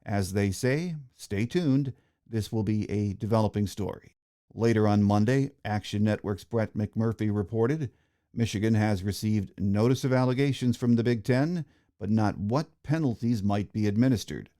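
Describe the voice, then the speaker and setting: Low, mellow American male podcast host. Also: moderate pace. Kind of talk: scripted narration, news-reading.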